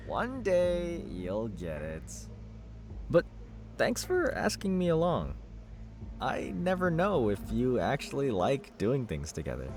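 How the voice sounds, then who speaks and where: A man's voice: mid-range voice, wildly swooping pitch, slow pace, long pauses, exaggerated voice. A chatty male art buff with hugely varied, swooping intonation. He is good-natured and nerdy, explaining things like a lecturer with a teasing edge. It is video game dialogue with music behind. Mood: teasing, wounded.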